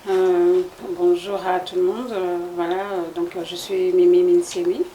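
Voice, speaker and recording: A woman's voice: lowish voice, flat delivery, measured pace, mellow, a little hiss or hum, low-quality recording. A woman from Congo in her forties, answering interview questions in a hesitant, explanatory way with many fillers. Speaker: guest, association president.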